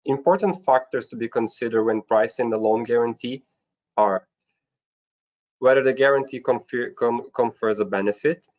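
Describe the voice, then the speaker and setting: A man presents slides in a formal, scripted way, setting out points one step at a time. His pitch sits in the middle range. The sound is dull and lo-fi, like an online webinar call. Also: normal speed, darkish tone.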